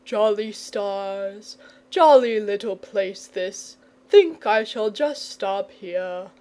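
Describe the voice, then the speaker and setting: A big, lazy storybook dragon, acted out by a woman, jovial and half asleep. He drawls very slowly and rambles along, slurring his words. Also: medium pitch, warm tone. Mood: drowsy, contented. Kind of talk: children's storybook character.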